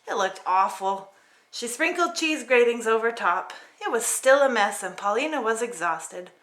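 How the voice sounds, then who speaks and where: A woman narrating a children's story in an expressive read-aloud style. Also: a little high, moderate pace. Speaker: storybook reader.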